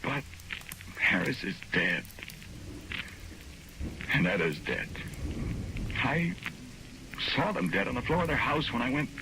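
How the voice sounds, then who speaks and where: An actor in a 1940s radio drama playing a desperate, innocent condemned man who narrates his ghostly tale in a brooding, halting, intense way. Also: mid-range voice, big pitch swings, background noise. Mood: bleak, despairing. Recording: old 1940s radio broadcast with music. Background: dramatic radio music.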